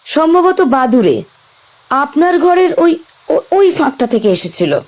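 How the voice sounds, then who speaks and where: A woman's voice: high voice, very animated, measured pace, darkish tone. An actress playing an anxious, curious young woman stranded on an island.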